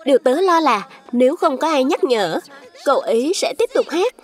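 A woman's voice: sits high, big pitch swings, normal speed, faint music behind. A woman voicing a small childlike fruit creature, earnest and outspoken, in a children's cartoon dub. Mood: concerned.

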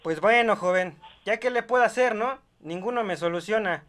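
A man's voice: high-pitched, moderate pace, fairly bright tone. A young man playing an insistent, grumbling older rancher in a prank call, pleading in a hesitant, folksy, repetitive way. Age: young adult. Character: insistent rural rancher, older man, stubborn, grumbling, attached to his donkey. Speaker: prank caller. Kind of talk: improvised prank phone call. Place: small bedroom studio, indoors.

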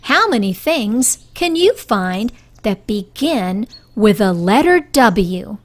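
Lady reading kids story, really slow n expressive, voice goes up and down. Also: mid-range voice. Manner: deliberate, animated for young children. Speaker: children's story reader. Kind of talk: slow expressive picture-book read-aloud.

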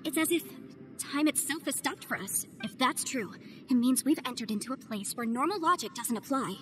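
Game voice: a bright-voiced, analytical young adviser, high pitch, music underneath. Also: female voice, lively intonation, normal speed. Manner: analytical, earnest, persuasive. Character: sharp, confident young woman legal adviser.

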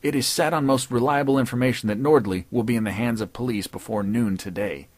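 A man's voice: mid-range voice, brisk, no room sound, close to an everyday voice. A man playing a cocky newspaper columnist. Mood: taunting. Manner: taunting, sardonic.

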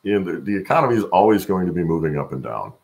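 A middle-aged man with a gravelly voice answering interview questions conversationally on a video call from home, haltingly thinking aloud. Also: low-pitched, sing-song, a little mellow. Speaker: guest, supply chain expert and voice-over announcer.

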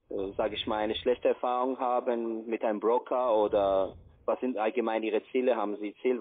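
A man delivers a rambling phone sales pitch in phrasing. The line sounds thin and tinny. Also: no room sound. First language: not German. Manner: wordy, rambling. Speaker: call center investment agent. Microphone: phone call.

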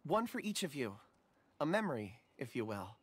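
An actor playing a gentle, polite young scholar with a soft, courteous voice. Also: male voice, medium pitch, very animated, moderate pace, husky, dry recording. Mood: warm. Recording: game audio with faint ambience.

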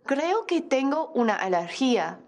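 Woman reading as a patient: slow, plain list of symptoms. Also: high voice, big pitch swings, darkish tone, heavily acted. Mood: complaining. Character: adult patient describing symptoms.

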